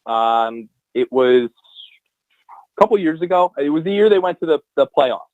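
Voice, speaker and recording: A man's voice: a little high, lively intonation, normal speed, no echo, narrow, tinny audio. A young man, a guest anthem singer, chatting casually on a podcast in long rambling answers full of fillers and restarts.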